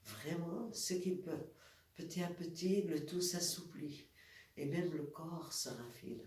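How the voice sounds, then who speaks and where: A woman with a cold, calmly leading a guided exercise as a wellness instructor and demonstrating movements step by step. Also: sits low, slow. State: has a cold. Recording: echoey room, voice from far off, faint noise.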